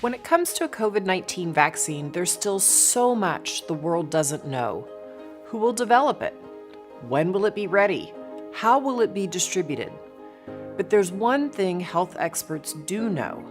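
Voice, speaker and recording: A woman reading a scripted advocacy narration in a measured, emphatic way. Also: mid-range voice, very animated. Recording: music bed behind.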